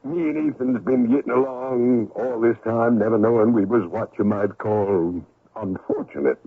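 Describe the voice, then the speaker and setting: An actor with an American accent playing an easygoing, smitten older gold miner husband in a radio drama, folksy and drawling. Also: male voice, medium pitch, moderate pace, breathy edge, close to an everyday voice. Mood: indulgent. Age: middle-aged. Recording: narrow-band old radio recording.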